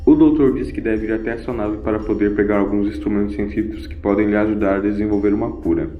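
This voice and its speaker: A man's voice: lowish voice, fairly even intonation, quick pace. A man narrating a scripted audio story as its storyteller. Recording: boxy, echoey lo-fi with music. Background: music.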